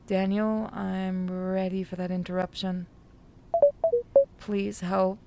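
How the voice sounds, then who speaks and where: A woman's voice: mid-range voice, flat delivery, takes it slowly, dark tone, slight background noise. A woman voicing a despairing, pleading woman who is falling apart, in a visual novel. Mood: desperate, pleading. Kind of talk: visual novel character reading.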